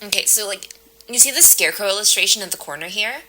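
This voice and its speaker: A woman's voice: mid-range voice, fast, sharp tone, poor audio. A woman playing a nervous, rambling, frightened client haunted by a scarecrow in a naturalistic audio drama. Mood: nervous, alarmed. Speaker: actor in an audio play.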